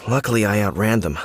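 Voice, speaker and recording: A man's voice: lowish voice, a little hiss or hum, natural voice. A man playing a flustered, grumbling cart merchant in a video game, dramatic and complaining.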